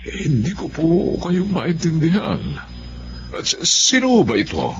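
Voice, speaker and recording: An actor playing an earnest, kindly doctor in a melodramatic Filipino radio drama. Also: male voice, fairly high pitch, wildly swooping pitch, measured pace, background noise. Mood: puzzled. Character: kindly married male doctor running a clinic. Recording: dull lo-fi radio drama audio.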